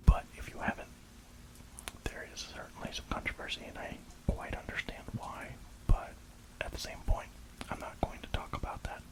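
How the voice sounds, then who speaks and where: An ASMR creator speaks entirely in a hushed whisper. The delivery is an informal, rambling review, with hesitations and little asides, as if chatting through thoughts as they come. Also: rough recording.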